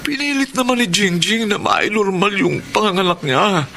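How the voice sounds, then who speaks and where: Anxious, high-voiced young brother, creaky. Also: male voice, expressive, normal speed, pushed and bright, a little hiss or hum, full character voice. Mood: pleading, remorseful. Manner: hesitant, apologetic, pleading. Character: anxious, pleading young student, younger brother, expectant father. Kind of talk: Tagalog radio drama acting.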